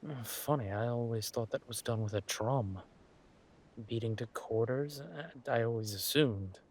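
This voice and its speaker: A man playing a flirtatious, smug villain king in a roleplay audio drama, talking to his spouse in a husky, teasing, languid way. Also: mid-range voice, a little mellow, a little hiss or hum, natural voice. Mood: puzzled, curious. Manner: teasing, languid, amused, drawn-out phrasing with murmured asides.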